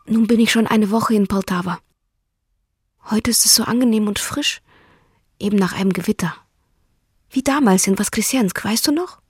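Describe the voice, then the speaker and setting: A woman's voice: medium pitch, darkish tone, slightly rough, close to an everyday voice. An actress playing a tender, wistful young Russian woman in love, intimate and dreamy, in a German radio drama. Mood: nostalgic. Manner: intimate, dreamy, with musing questions. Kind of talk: German radio drama, letters read in character.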